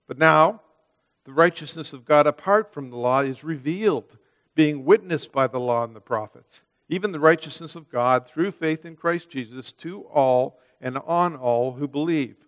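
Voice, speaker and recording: A man's voice: a little high, normal speed, a bit bright. A man preaching a sermon, reading scripture and then explaining it in an earnest manner, often repeating words as he restarts a phrase.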